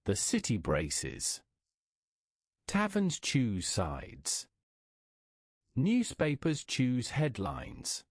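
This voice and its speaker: A man with a British accent reading a scripted bedtime story in a measured, deliberate way as narrator. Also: mid-range voice, darkish tone, no echo, studio quality. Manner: measured, deliberate read-aloud delivery.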